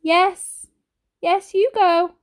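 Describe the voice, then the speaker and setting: Woman putting on a croaky, high-voiced frail old granny. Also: steady tone, exaggerated voice. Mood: brave, wistful. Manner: slightly slurred, slow speech. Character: frail, sad old grandmother in hospital, kindly and brave.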